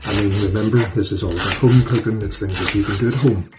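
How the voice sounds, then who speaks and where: Low-voiced Northern Irish man, chatty and folksy. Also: fast talker, heavy background noise. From Northern Ireland. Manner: chatty, folksy, self-deprecating. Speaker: cooking channel host. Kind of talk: casual cooking demonstration. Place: home kitchen.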